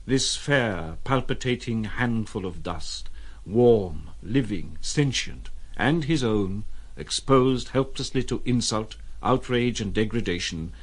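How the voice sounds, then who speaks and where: A man's voice: on the low side, animated, slow. A man narrating a literary audio drama in a scripted, dramatic storytelling style. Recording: clear background noise and ambience.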